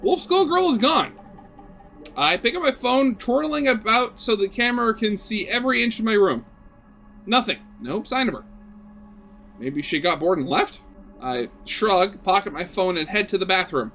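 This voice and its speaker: A man's voice: high voice, very animated, moderate pace, bright, forceful tone, soft background music. A man reading a visual novel aloud as a gaming video host, with casual, rambling commentary.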